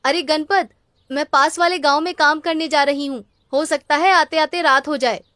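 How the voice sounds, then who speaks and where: A woman's voice: sits high, moderate pace, a bit bright, no room sound, clean studio sound, pitched above the performer's own voice. A woman voicing a kind, devout but strict village mother in a children's cartoon story. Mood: kind, caring.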